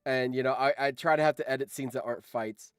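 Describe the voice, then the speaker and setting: A man's voice: medium pitch, fairly even intonation, fast, smooth, dry recording. A young man hosting a casual livestream, talking in a rambling way that often trails off, close to the mic. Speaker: streamer and host.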